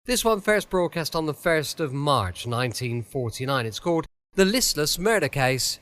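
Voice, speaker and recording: A British man introduces a radio show in a chatty, welcoming way. His voice is on the high side and rises and falls in a very animated manner. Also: normal speed, noisy background. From United Kingdom. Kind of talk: cosy scripted show introduction.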